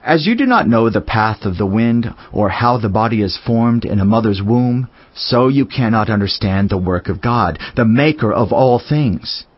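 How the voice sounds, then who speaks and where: An actor voicing a solemn, wise teacher in a dramatized scripture reading, speaking in a measured, declamatory way. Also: male voice, on the low side, expressive, dry recording. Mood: solemn, reflective. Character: solemn male Teacher, wise biblical sage reflecting on life. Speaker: scripture reader. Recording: dull lo-fi audio over music.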